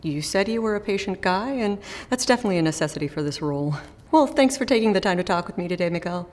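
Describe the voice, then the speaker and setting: A woman's voice: mid-range voice, animated, quick pace, a little mellow, long reverb tail. A woman playing a recruiter in a scripted phone interview, with a friendly, professional manner. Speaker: recruiter in role-play.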